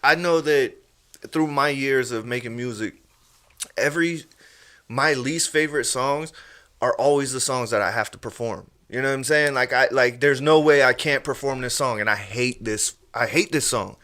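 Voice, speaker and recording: A man's voice: medium pitch, moderate pace, bright, forceful tone. A man with an ordinary mid-range voice talking casually on a podcast. He speaks in a laid-back way, stops often to check that his listener is following, and shares his experience of making music. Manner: laid-back, frequently checking in with "you know what I'm saying".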